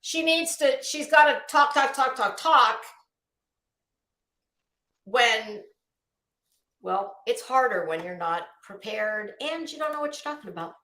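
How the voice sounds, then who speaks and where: High, bright, echoey woman commentator. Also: lively intonation, measured pace, long pauses. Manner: scornful, blunt, emphatic. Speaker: YouTube commentary show host. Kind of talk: casual critical commentary on a video.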